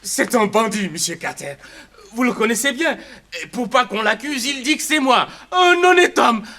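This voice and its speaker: A very high, bright male voice as a humble hotel shoeshine man in a 1960s radio drama. He is excitable and indignant, pleading and protesting in a wailing manner. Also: normal speed, full character voice. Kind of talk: 1960s French radio crime drama acting.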